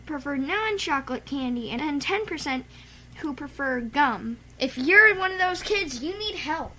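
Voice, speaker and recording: High voiced woman co-host chatting n reading trivia, bit of noise on the recording. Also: bright, forceful tone, rough recording. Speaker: radio show co-host. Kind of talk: casual chat and trivia reading.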